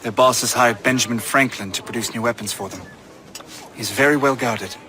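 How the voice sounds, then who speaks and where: An actor playing a stern, formal colonial military officer in a video game cutscene. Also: male voice, mid-range voice, noisy background, close to an everyday voice. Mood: grave, businesslike. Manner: formal, measured wording.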